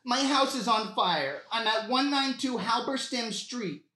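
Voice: medium pitch, lively intonation, a little slow, bright, forceful tone. A performer voicing a panicked homeowner on an emergency call in a comedy sketch read. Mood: urgent, alarmed. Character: panicked female homeowner calling emergency services. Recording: roomy echo, indoor room.

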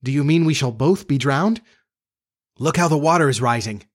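A man voicing a tired but brave young girl, speaking gently and pluckily. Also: fairly high pitch, normal speed, lightly acted. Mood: anxious.

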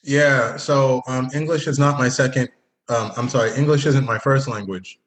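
A man's voice: medium pitch, steady tone, normal speed, rough recording. A man hosting a podcast, chatting casually and teasing his old college friend. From Haitian family, grew up partly in Canada. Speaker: podcast host. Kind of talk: casual interview chat.

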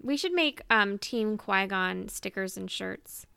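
A woman's voice: medium pitch, expressive, takes it slowly, gravelly, sharp tone, clear, resonant tone, no echo. A woman hosting a podcast, talking casually as a fan in conversation. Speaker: podcast host.

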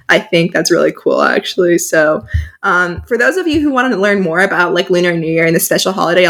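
A teenage girl from San Diego hosting a podcast, chatty and upbeat, with frequent fillers. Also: on the low side. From San Diego, USA. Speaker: podcast host. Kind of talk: casual podcast chat.

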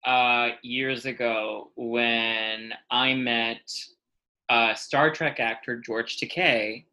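An American man from New York chatting casually as a podcast guest, telling personal anecdotes in an animated, self-mocking way with plenty of swearing. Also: mid-range voice, extremely slow, poor audio. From New York City, United States.

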